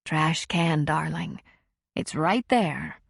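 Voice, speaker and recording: A woman reading as a calm, patient counselor. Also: low voice, slow, creaky, slightly rough, no echo, clean studio sound, exaggerated voice. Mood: caring. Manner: gentle, probing questions.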